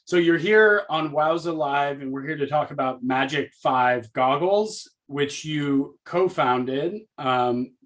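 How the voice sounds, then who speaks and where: A man's voice: a little high, takes it slowly, hardly any pauses, a bit bright. A man hosting a live interview, asking questions in a friendly, upbeat way. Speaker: host.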